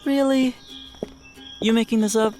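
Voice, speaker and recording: An actor playing a curious, skeptical brother in an audio drama, speaking in casual, short prompts. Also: male voice, very high voice, fairly even intonation, a little slow, darkish tone, faint music behind.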